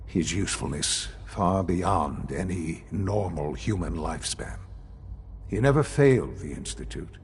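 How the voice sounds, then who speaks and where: An actor playing an elderly male leader of a secretive science institute in a video game, speaking in a measured, formal, gravely earnest way. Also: low-pitched, darkish tone, a little hiss or hum, full character voice. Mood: measured, defensive. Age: older. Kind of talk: video game dialogue acting.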